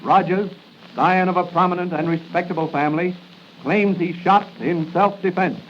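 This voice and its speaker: A man announcing in a dramatic 1940s radio narration style, declamatory, with deliberate emphasis. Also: high voice, fairly even intonation, slight background noise. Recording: muffled 1940 radio recording.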